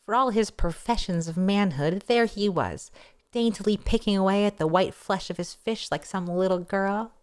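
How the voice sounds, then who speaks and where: A woman narrating a first-person story aloud in an expressive, playful style, with wry casual asides. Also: medium pitch, normal speed, clear, resonant tone. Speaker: streamer reading a novel aloud.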